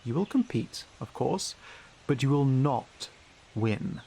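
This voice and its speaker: A man's voice: mid-range voice, animated, slow pace, gravelly, dark tone, a little hiss or hum. A man playing a smug, scheming, haughty knight in a fantasy audio roleplay, with a pompous, mocking drawl. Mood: gloating menace.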